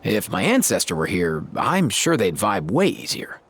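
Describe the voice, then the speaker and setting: A laid-back young warrior who talks to his saurian like a buddy, casual and slangy with a lively, swinging delivery. A faint ambient bed sits behind him. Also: lowish voice, normal speed, a little hiss or hum. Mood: easygoing. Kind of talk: game cutscene voice acting.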